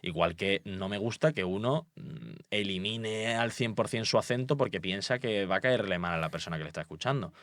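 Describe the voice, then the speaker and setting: A man's voice: fairly low pitch, fairly quick, hardly any pauses, fairly bright tone, dry recording, clean studio sound. A young man from Málaga with a light Andalusian accent, speaking as an emphatic, confident guest in a podcast interview. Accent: light Andalusian Spanish. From Málaga, Spain. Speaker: public speaking expert guest.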